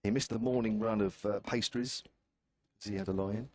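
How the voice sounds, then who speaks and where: A man's voice: on the low side, steady tone, moderate pace, a little mellow. Chatty man with a southern English accent. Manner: chatty, wry, self-deprecating. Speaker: cricket commentator. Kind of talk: live ball-by-ball cricket commentary. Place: outdoor commentary position at a cricket ground.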